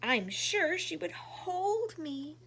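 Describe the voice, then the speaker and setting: A woman's voice: extremely high pitch, sing-song, slow, bright, forceful tone, pitched above the performer's own voice, exaggerated voice. A woman voicing a lonely little bird child in a storybook, pleading and whiny in a childlike way. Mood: tearful, wistful.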